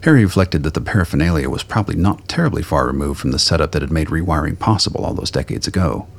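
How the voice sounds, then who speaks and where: A man's voice: extremely low pitch, expressive, quick pace, gravelly. A man narrating a science fiction radio play in a scripted, dramatic storytelling style.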